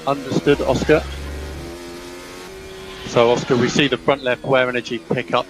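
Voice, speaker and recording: A man's voice: mid-range voice, flat delivery, normal speed. A man working as a race engineer, giving clipped instructions over team radio to a driver and repeating key calls. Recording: team radio with music behind.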